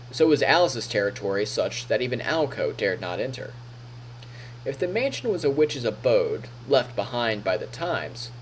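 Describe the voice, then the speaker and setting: A man's voice: fairly low pitch, slight background noise, low-quality recording. A man streaming, reading narration from a visual novel aloud and adding casual comments. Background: game music.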